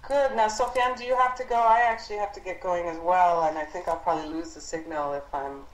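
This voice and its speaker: Woman instructor on a roomy conference call, lowish voice, halting business advice, encouraging. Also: fairly even intonation, a little hiss or hum. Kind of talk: explanatory business advising.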